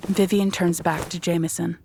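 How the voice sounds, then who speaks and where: A woman narrates a horror audio drama from a script. Her voice is fairly low and stays very even while telling dramatic scenes, over faint background noise. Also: normal speed, creaky.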